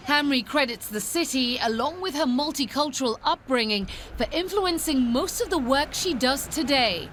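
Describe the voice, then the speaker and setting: A woman's voice: high voice, expressive, normal speed, hardly any pauses, a bit bright, a little hiss or hum. A woman reporter reading scripted narration for a news profile.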